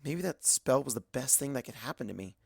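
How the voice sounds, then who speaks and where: A man's voice: medium pitch, expressive, brisk, gravelly, slightly rough, no room sound, studio quality. A man reading a first-person story aloud as dramatic scripted narration. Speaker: audiobook narrator of fan fiction.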